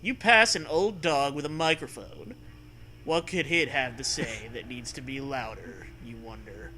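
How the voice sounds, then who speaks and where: A man's voice: mid-range voice, unhurried, sharp tone, slight background noise. A man hosting a comedic read-aloud, delivering a story theatrically and breaking off to riff and joke. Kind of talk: comedic dramatic read-aloud with casual banter.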